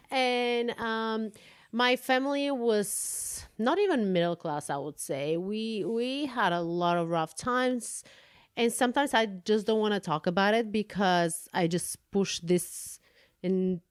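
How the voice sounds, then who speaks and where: A woman's voice: mid-range voice, lively intonation, measured pace, no room sound. Bulgarian-accented woman, forties, chatty podcast co-host, dry close mic. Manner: chatty, laughs often, searches for words. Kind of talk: casual storytelling.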